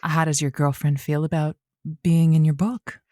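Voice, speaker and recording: A woman's voice: sits low, fairly even intonation, moderate pace, warm tone, dry recording, lightly acted. Woman acting as radio advice host, frank, wry, folksy, close dry sound, playful pointed phrasing. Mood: probing. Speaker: call-in advice show host. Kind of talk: improvised comedy radio advice talk.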